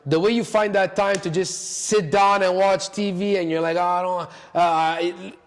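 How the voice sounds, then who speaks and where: A man's voice: high-pitched, steady tone, normal speed, clear, resonant tone. A man talking casually as a podcast guest, giving advice emphatically in short, repeated imperatives, with long reverb around his voice. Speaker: podcast guest, cooking social media creator. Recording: echoey hall-like reverb.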